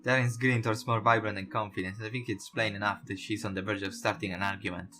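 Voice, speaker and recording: A man's voice: lowish voice, level tone, fast. A man reading a visual novel aloud as a let's player, narrating the story with casual reactions and now and then stumbling over words. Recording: voice over guitar background music.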